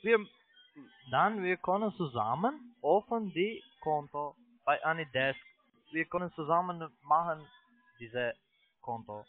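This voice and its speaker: A man with a foreign accent, not a native speaker, making a halting sales pitch over the phone in broken sentences with repeated restarts. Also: animated, dry recording. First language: not German. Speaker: phone sales agent, investment scam caller. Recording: tinny narrow phone line.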